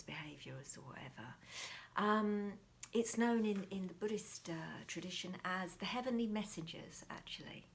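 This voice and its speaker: A woman guiding a meditation in a soft, hushed, whispery voice, gentle and reflective with many pauses. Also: mid-range voice, a little hiss or hum. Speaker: meditation teacher. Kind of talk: guided meditation talk.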